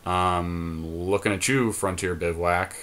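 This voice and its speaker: Male podcast co-host, low-pitched, conversational, talking through game decisions aloud in a casual commentary style. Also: steady tone, slow, fairly bright tone.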